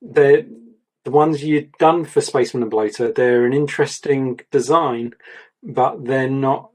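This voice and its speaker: A man reviewing an artist's portfolio, talking in a rambling, repetitive way, his voice going a little croaky. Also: mid-range voice, a little slow, a little mellow, poor audio. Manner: rambling, repeats words, gives advice. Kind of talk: casual mentoring chat.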